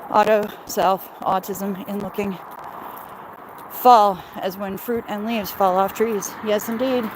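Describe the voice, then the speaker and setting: Casting: American woman, casual livestream host, slow rambling delivery, recorded outdoors with clear background noise. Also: medium pitch, fairly even intonation. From Michigan, United States. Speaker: livestream host chatting with viewers. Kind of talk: casual livestream chat while walking.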